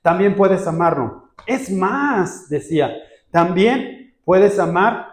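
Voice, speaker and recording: A pastor preaching a sermon in a lively way, acting out quoted voices and often correcting himself mid-phrase. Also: male voice, sits high, slow, roomy sound.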